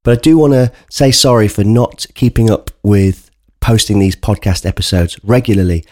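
A man hosting a podcast, his voice low and mellow and thickened by a bunged-up cold. He talks to his listeners in an earnest, chatty way, and the recording is close and dry with no room sound. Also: moderate pace, no echo. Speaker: podcast host. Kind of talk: casual spoken podcast introduction.

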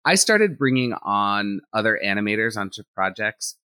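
A man, an animator and director, talking in a relaxed interview and thinking out loud with lots of "like" fillers. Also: on the low side, normal speed, no echo. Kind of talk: casual interview conversation.

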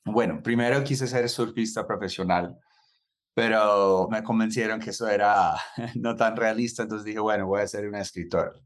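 A man from the United States speaking Spanish with an American accent, telling his life story in a halting way with many restarts. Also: fairly low pitch, fairly even intonation. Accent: American English. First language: English. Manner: halting, restarts words, chuckles at himself. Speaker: entrepreneur interviewee. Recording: guitar music underneath.

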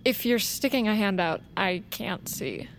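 A woman playing a guarded, sarcastic blind teenage girl in a fiction podcast, with a deadpan delivery. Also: mid-range voice, measured pace, crowd noise. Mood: dry, sarcastic.

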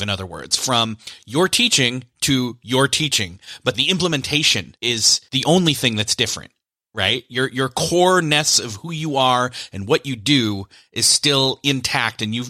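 A man's voice: mid-range voice, pushed and bright, dry recording, clean studio sound. A man hosting a podcast, reading a sponsor spot and asking long, rambling questions that think aloud. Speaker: podcast host. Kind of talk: conversational interview and read ad.

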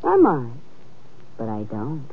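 An actress playing a brash, world-weary schemer who talks in sardonic slang, in an old-time radio crime drama. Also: female voice, rumbling low, wildly swooping pitch, takes it slowly, breathy edge, full character voice. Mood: flirtatious, wry. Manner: sardonic, slangy tough-dame talk. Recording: muffled old radio recording.

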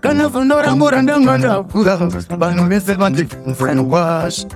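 A man singing a song in a drawn-out, chanting way. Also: high-pitched, creaky, music in the background.

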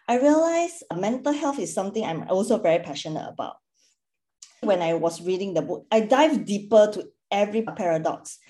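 Lively, mellow-voiced woman from Singapore. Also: lowish voice. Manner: earnest, animated, acts out quoted remarks. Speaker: podcast guest, school board chairperson. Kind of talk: conversational interview answers.

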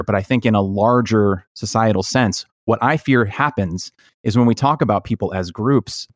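Man, podcast host, lowish voice, earnest, reflective, halting delivery, personal storytelling, dry sound. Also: dry recording.

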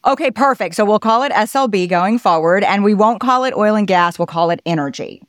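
A woman's voice: mid-range voice, animated, fairly quick. A woman hosting a podcast, asking upbeat, conversational questions. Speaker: podcast host. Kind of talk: interview hosting.

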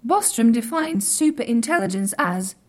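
A high, female-voiced superintelligent computer from an audio comedy. It speaks in stilted, exact, formal phrases with a deadpan delivery. It is pedantic and literal-minded, and quietly smug about its own intellect. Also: moderate pace. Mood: matter-of-fact, lecturing. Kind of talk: scripted audio comedy drama.

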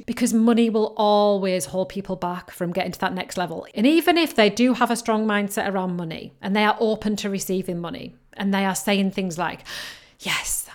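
A woman with a Northern English lilt, somewhere between Yorkshire and Geordie, coaching listeners on her own podcast. Her voice sits at a middling pitch, and she talks in an upbeat, encouraging way, hammering points home by saying them again. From North East England coast. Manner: upbeat, encouraging, repeats key phrases. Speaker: podcast host, business coach. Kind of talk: solo podcast advice.

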